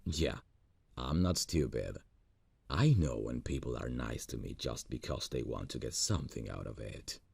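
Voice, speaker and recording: Earnest lovesick boss, played by a man: husky, dry close-mic sound, lively pitch. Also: no echo, exaggerated voice. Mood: tender. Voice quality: deep gravelly voice. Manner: soft, intimate, slow and earnest. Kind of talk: ASMR roleplay audio.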